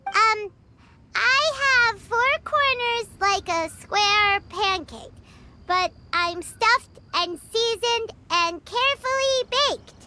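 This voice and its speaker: A woman voicing a tiny, childlike fairy companion in a video game, squeaky, excitable and cheeky, with exaggerated delivery. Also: slow pace, slight background noise, exaggerated voice. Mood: musing. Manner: exaggerated, refers to herself in third person. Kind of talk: video game cartoon voice acting.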